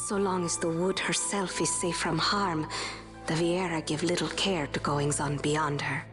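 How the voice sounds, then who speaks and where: A woman's voice: deep voice, steady tone, a little slow. A woman playing an earnest, restless young woman of a woodland race in a fantasy game, with formal, slightly archaic phrasing. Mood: troubled. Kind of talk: dramatic fantasy game acting. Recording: loud orchestral music behind.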